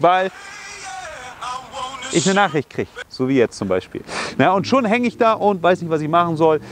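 A man in his thirties, a little hoarse from a cold, talks an outdoor self-defense lesson through with plenty of energy. His voice sits a touch high, and he throws in bursts like "boom" and "bam" as he demonstrates. It is picked up on the camera from a few steps away in an open park. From Germany. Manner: energetic, explains with sound words like boom and bam. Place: outdoors on a grassy park slope.

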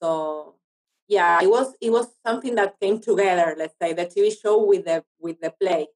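Woman, halting conversational delivery. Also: on the low side, rough recording. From Argentina. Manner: halting, searching for words. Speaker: interview guest, actress. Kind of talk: conversational interview.

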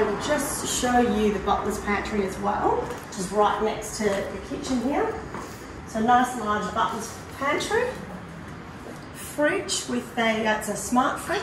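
A middle-aged Australian woman giving a casual, conversational and enthusiastic walk-through tour as a presenter. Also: fairly high pitch. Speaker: presenter of a prize home tour. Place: walking through hard-surfaced apartment rooms. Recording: roomy echo, distant mic, background noise.

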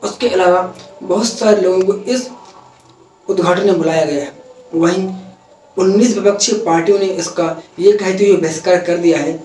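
High-voiced young man explaining news, echoey room. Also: moderate pace. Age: young adult. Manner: earnest, steady explanatory delivery with occasional self-corrections. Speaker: news presenter. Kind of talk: opinionated news explainer to camera. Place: indoor room, parliament backdrop added behind. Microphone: camera a few feet away, no mic in view. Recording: echoey room, voice a bit far from mic, faint noise.

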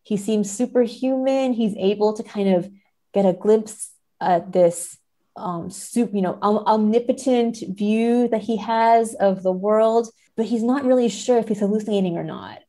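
A woman's voice: mid-range voice, a little slow, dark tone. A woman, a podcast guest, talking analytically in an explanatory way and often checking in with "right?". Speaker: podcast guest, literary scholar.

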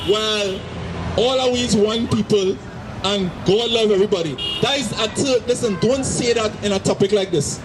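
A middle-aged man with a Trinidadian accent, preaching forcefully outdoors into a handheld mic and repeating his points. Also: sits high, normal speed. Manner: forceful, repetitive, shouting at times. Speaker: street preacher. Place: city street outdoors, crowd around. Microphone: handheld mic through a loudspeaker. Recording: noisy street with crowd.

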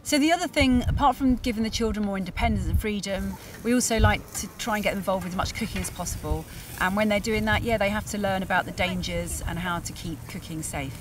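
A woman's voice: medium pitch, quick pace, few pauses. A woman in her forties presenting a sailing vlog in a casual, chatty style, recorded outdoors on a camera. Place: outdoors at a harbour and on a sailboat. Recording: distant mic, noisy outdoor boat ambience.

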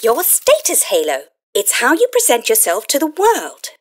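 A woman's voice: fairly high pitch, wildly swooping pitch, moderate pace, slight background noise. A woman playing a tiny cheerful electronic fairy helper in an audio play, gushing and encouraging with eager sales-like patter. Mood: cheerful, helpful. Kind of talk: scripted audio play acting.

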